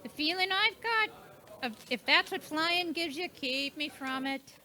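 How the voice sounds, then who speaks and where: Detective's lady companion, actress: radio play, very high, piercingly bright voice, excitable, fluttery, easily startled. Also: measured pace, exaggerated voice. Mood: fretful. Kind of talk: radio mystery play acting.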